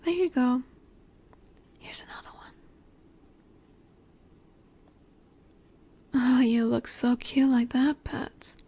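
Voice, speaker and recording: A woman playing a dominant, nurturing snake-woman monster in an intimate roleplay audio, speaking in a gentle, coaxing way that often sinks toward a whisper. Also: high voice, a little slow, slightly rough, heavily acted. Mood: tender, doting.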